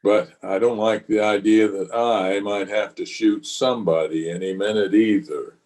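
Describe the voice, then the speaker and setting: Man acting as a weary, low-voiced frontier marshal. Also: steady tone, moderate pace, a little mellow, close to an everyday voice. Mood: grave, earnest. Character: weary, conscience-stricken frontier marshal, grave and plainspoken. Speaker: actor and narrator in an amateur radio play. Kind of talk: old-time radio western acting. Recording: voice recorded over an internet call.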